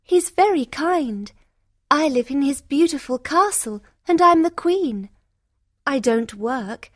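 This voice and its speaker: A woman voices a kind young fairytale maiden with a high, sweet voice. She speaks in polite, simple storybook sentences. The sound is dry, without any room around it. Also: lively intonation, slow pace, dry recording. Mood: grateful, content. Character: gentle, kind young Beauty, a fairytale heroine. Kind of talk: fairytale storybook dialogue for English learners.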